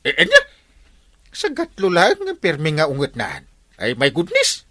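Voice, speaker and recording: A performer acting an excitable, exasperated village captain in a comedy radio drama, full of exclamations. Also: medium pitch, unhurried, no echo, exaggerated voice. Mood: incredulous.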